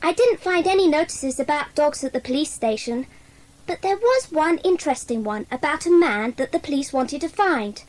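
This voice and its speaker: Earnest child club member acted by a woman, very high-pitched, eager, for a children's mystery drama. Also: expressive, normal speed. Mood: eager reporting. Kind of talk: dramatised children's audiobook acting.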